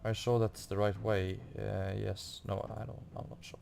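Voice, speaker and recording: Male gamer, casual commentary, dark relaxed tone, halting, thinking aloud, faint music. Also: on the low side, gravelly. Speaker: video game player making a playthrough.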